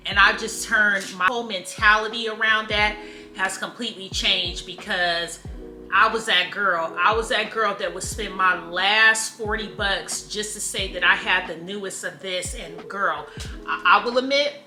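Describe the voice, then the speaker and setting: A woman's voice: medium pitch, measured pace, pushed and bright. Candid vlogger woman in her thirties, chatty and emphatic, roomy sound. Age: 30s. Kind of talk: casual motivational talk to camera. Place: room at home. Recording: echoey room with faint music.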